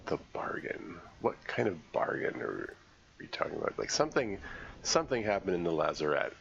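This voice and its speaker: A deep-voiced man with a gravelly edge talks through a game live, his voice stuffy and congested from a cold. He thinks aloud in a halting way while puzzling things out. The stream audio sounds a little dull, with a faint hiss underneath. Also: big pitch swings. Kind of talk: live game playthrough commentary.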